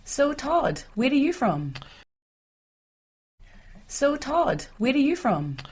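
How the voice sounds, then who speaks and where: A woman's voice: sits high, very animated, slow pace. A woman interviewer asking simple, short questions for an English listening lesson.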